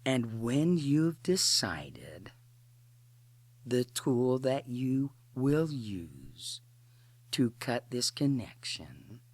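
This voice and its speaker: A woman guides an imagery meditation at an extremely slow pace, in short, deliberate phrases separated by long pauses. Her pitch moves up and down noticeably as she gives instructions, and the sound is dry and close. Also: very animated, a little mellow, no echo.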